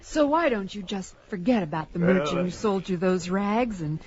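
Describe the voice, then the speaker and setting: A woman's voice: mid-range voice, animated, moderate pace, close to an everyday voice. Woman playing a coaxing tavern wench, muffled. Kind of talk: pulp fantasy audio drama acting. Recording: dull lo-fi, noisy crowd behind.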